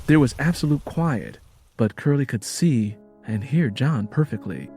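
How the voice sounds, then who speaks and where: A man's voice: medium pitch, animated, measured pace, mellow, faint music behind. A man narrating a scripted audio drama as a dramatic storyteller.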